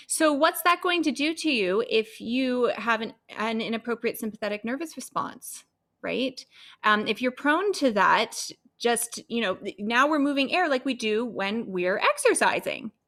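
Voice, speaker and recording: A woman who is a singer and vocal health specialist, explaining her field in a lively, expressive way as a podcast guest. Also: fairly high pitch. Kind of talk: explanatory interview talk.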